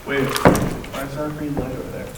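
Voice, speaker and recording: A young man thinks out loud in a dark barn, his casual speculation full of fillers. The camera catches him from a few steps off, with a roomy echo and a heavy hiss of noise behind his words. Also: medium pitch, flat delivery, moderate pace, distant mic. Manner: musing, speculative, loose with fillers. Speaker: paranormal investigator. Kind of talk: casual chat during a night investigation. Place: dark old barn at night. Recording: loud noisy echoey barn from off-mic.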